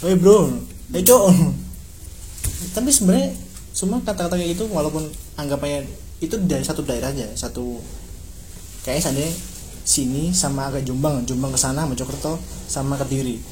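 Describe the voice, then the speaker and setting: A chatty young man from East Java with a warm, mellow, somewhat high voice. He echoes words back and laughs often in a relaxed conversation. A faint noise sits behind him. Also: moderate pace. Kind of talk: casual chat.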